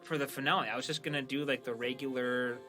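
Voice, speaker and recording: A man's voice: medium pitch, fairly even intonation, fast talker, bright, forceful tone, faint music behind. A man co-hosting a podcast in casual banter, speaking informally and laughing easily. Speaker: podcast host, show creator.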